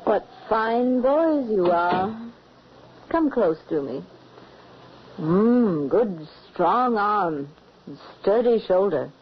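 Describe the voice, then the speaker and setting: A woman's voice: mid-range voice, expressive, drawn-out words, a little hiss or hum. An actress playing a mysterious, silky young noblewoman with a coaxing, theatrical delivery in an old-time radio horror drama. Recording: muffled old radio recording.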